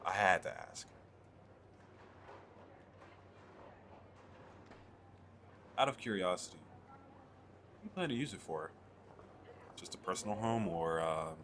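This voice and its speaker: A low, deep male fantasy shopkeeper, played by a man, talks straight to the listener in an ASMR roleplay. He is an eager, chatty salesman who coaxes and drops in hesitant asides. The recording has clear background noise. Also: bright, forceful tone. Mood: pleased, friendly. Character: eager, chatty male fantasy merchant selling magical crystal castles.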